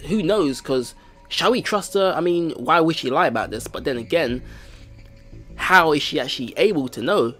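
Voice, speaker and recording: High-pitched man hosting a let's play. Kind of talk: video game commentary, reading story text aloud.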